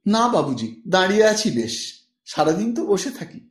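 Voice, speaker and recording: An earnest, deferential young village woman in an audiobook story, voiced by a man. She talks simply and devotedly with lively swings in pitch, in a room with clear echo. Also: normal speed. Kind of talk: audiobook character dialogue. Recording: roomy, echoing voice recording.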